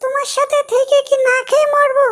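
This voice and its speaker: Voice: extremely high pitch, level tone, fairly quick, a bit bright. An actor voicing a bitter, fed-up fox wife and mother in a cartoon. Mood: angry, tearful. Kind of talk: Bengali cartoon voice acting.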